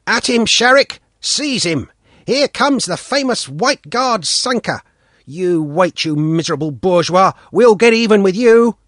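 A man's voice: sits high, big pitch swings, measured pace, a bit bright, no echo, clean studio sound, pitched above the performer's own voice, full character voice. A man voicing a stubborn, cocky village boy who tells his story doggedly in a raised voice. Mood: taunting.

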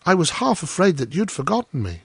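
A man's voice: on the high side, sing-song, fairly quick, creaky, slightly rough, no room sound, pitched above the performer's own voice, full character voice. A man voicing a humble, grateful, naive gardener in a storybook, earnest and polite. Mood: pleased, earnest. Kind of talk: storybook character voice.

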